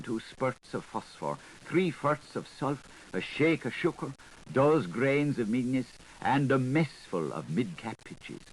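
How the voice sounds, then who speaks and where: A man narrating a literary audiobook in an expressive, theatrical way, playful with wordplay and sound effects. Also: mid-range voice, low-quality recording. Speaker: audiobook reader. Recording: 1980s audiobook recording with audible background noise.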